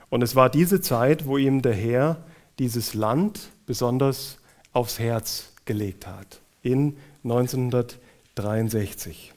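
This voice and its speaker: A man's voice: mid-range voice, mellow. A man from Hesse with a Hessian German accent, introducing a guest speaker at a church conference with personal, joking asides to the audience.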